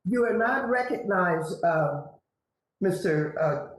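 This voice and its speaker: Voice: mid-range voice, expressive, slow pace. Person chairing a hearing, firm procedural tone, echoey room, faint noise behind. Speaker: committee chair. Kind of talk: presiding over a hearing.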